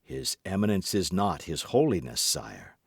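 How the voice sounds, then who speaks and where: A man voicing a calm, shrewd captain of musketeers who answers his king quietly and with dry irony. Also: low voice, normal speed, gravelly, husky, no echo, full character voice. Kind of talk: dramatized audiobook reading.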